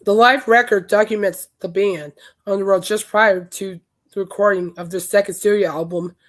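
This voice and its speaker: A woman hosting a podcast in casual rambling chat, her voice hoarse and raspy from being sick, telling stories with enthusiasm. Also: mid-range voice, steady tone, normal speed. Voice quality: raspy, worn throat. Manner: chatty, enthusiastic storytelling. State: hoarse, voice shot from illness. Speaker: podcast host.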